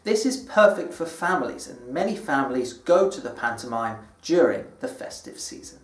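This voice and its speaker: A British man presenting an educational lesson in a deliberate, explanatory manner, like a teacher addressing language learners. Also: mid-range voice, sing-song. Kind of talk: scripted educational presentation to camera. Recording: echoey room, distant microphone.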